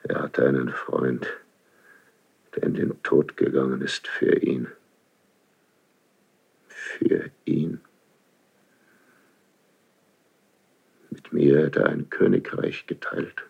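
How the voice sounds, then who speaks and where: An actor playing a proud, embittered ageing king in a classical German verse radio drama, slow and halting with a gravelly, husky voice. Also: a bass voice, steady tone, darkish tone, full character voice. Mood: brooding grief. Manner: slow, halting, brooding, theatrical declamation. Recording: slightly dull archival radio drama recording.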